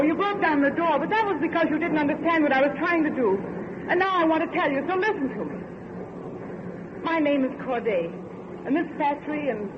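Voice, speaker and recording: An actor playing an idealistic, insistent factory heir in an old radio horror drama, with an earnest, pleading and commanding manner. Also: male voice, extremely high pitch. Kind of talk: 1940s radio horror acting. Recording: muffled old radio recording, faint hiss.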